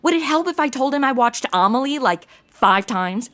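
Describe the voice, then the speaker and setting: A woman's voice: high voice, expressive, normal speed. A woman playing a brash, ditzy young American in a comedy audio drama, sassy, dramatic and slangy. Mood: sassy, puzzled.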